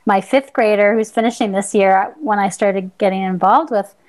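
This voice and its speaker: A woman's voice: medium pitch, moderate pace, darkish tone. A woman in her thirties speaks on a video call during a school board meeting. She talks in a chatty, gushing way, filling her sentences with likes and ums. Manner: gushing, chatty, uses fillers. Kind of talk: casual remarks in a board meeting.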